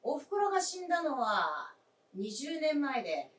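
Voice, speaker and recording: Wistful grown son, echoey stage. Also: male voice, extremely high pitch, huge pitch swings, normal speed, a bit bright, heavily acted. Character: reflective grown son remembering his late mother. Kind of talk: theatrical staged radio drama acting. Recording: echoey stage, distant mic.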